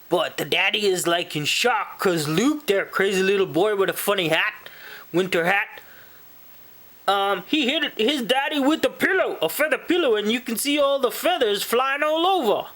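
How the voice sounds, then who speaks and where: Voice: mid-range voice, fairly bright tone, lightly acted. A person voicing a friendly, dim, rambling male storybook moose with a hesitant, folksy manner. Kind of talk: in-character picture book retelling to children.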